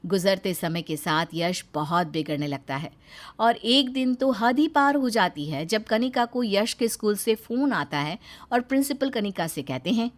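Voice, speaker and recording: A woman's voice: medium pitch, expressive, unhurried. A woman narrating a scripted cartoon family story as storyteller.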